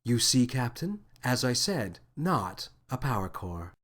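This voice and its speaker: A man voicing an unflappable, dryly amused female crew officer in a children's sci-fi story, measured and deadpan. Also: mid-range voice, heavily acted. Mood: calm, dry.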